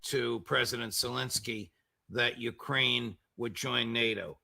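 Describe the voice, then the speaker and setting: A man asks interview questions very slowly, pausing often on fillers. His voice is gravelly, with a sharp, bright tone. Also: mid-range voice, fairly even intonation. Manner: halting, with many filler pauses.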